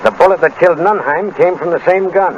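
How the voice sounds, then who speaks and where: An actor playing a blunt, dogged police inspector, emphatic and plain-spoken, in 1930s radio drama style. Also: male voice, high voice, a little fast, lo-fi sound, close to an everyday voice. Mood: determined. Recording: noisy 1930s radio broadcast recording.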